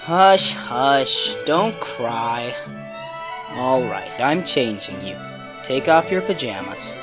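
Woman voicing a slow, drawling, mischievous schoolboy. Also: rumbling low, lively intonation, music in the background, full character voice. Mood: teasing. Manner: mocking, drawling. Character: teasing, mischievous teenage schoolboy. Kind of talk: fan manga dub acting.

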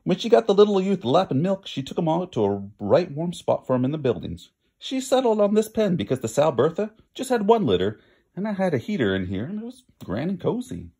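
Man doing a jovial old country farmer, husky. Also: on the high side, sing-song, fairly quick, dark tone, voice pushed up, full character voice. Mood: amused, explaining. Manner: rustic dialect phrasing.

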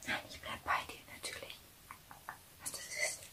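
A woman in her thirties playing a caring survivor who tends a wounded companion in a hushed, soothing, urgent ASMR roleplay. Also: moderate pace. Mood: concerned, gentle.

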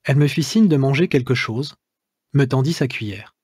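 A man reads his own memoir in a measured, literary way. His voice is slightly husky, with a middle pitch. The close studio recording is dry, with no room sound. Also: expressive, a little mellow, dry recording. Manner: measured, literary first-person reading. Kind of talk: audiobook narration.

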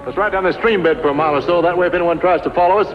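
A muffled vintage radio western recording. A somewhat high male voice acted as a cocky, short-tempered bandit talks at breakneck speed in folksy cowboy speech. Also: racing, over music, natural voice. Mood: wary, urgent. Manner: folksy cowboy drawl grammar, snappy. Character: cocky, irritable Western outlaw holdup man. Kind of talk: 1950s radio western acting. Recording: dull 1950s radio recording.

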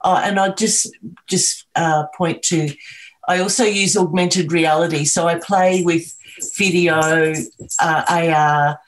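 A middle-aged Australian woman, a video artist, talking about her work on a video call, with many pauses, ums and restarts. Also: lowish voice, fairly even intonation, poor audio. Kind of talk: artist talk on a video call, sharing screen.

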